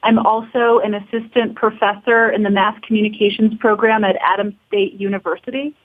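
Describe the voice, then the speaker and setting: A woman's voice: medium pitch, fairly even intonation, a little fast. A woman on a phone call, chatting casually as an interview guest. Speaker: interview guest, documentary co-director and professor. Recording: tinny remote phone call.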